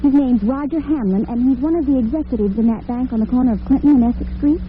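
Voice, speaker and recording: Woman playing devoted young working girl, highish pitch, affectionate, earnest, radio melodrama, tinny old recording. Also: fast talker, lightly acted. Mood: determined. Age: young adult. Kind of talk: old-time radio drama acting. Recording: narrow-band vintage radio with slight noise.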